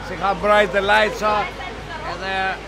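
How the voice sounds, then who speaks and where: A man's voice: sits high, little pitch movement, takes it slowly, gravelly. An older man, the owner's father, talking plainly and bluntly in a restaurant, repeating his points. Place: restaurant dining room. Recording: busy crowd chatter behind.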